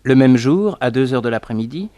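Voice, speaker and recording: An actor playing a detective's companion who narrates a past case conversationally, in 1960s French radio storytelling style. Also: male voice, medium pitch, animated, fairly quick, mellow, a little hiss or hum, close to an everyday voice.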